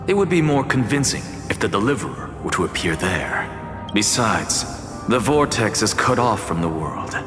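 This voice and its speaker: An actor playing a proud, theatrical demigod scholar of reason in a video game, speaking in a measured, self-assured way. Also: male voice, on the low side, sing-song. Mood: coolly resolute. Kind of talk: dramatic game voice acting. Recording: echoey hall reverb with loud music. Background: loud music.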